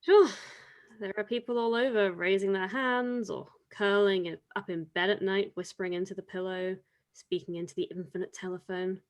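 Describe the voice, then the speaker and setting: A woman's voice: mid-range voice, steady tone, normal speed, close to an everyday voice. Wry, devout island woman, acted by a woman. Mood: reflective. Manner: dry, teasing, reflective. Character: wry, devout island woman, teasing grave-robbing companion. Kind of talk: audio drama table read.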